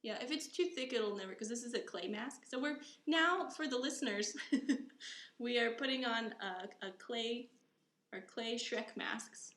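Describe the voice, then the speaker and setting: A woman hosting a podcast in casual chat, easygoing and quick to laugh as she asks follow-up questions. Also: on the high side, a bit bright, echoey room. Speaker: podcast host.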